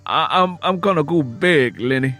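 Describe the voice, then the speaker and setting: A man's voice: fairly high pitch, normal speed, sharp tone, soft background music, pitched above the performer's own voice. A man acting a desperate sharecropper father, raised voice. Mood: ashamed. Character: proud, desperate, ashamed poor sharecropper father. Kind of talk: dramatic audiobook character voice.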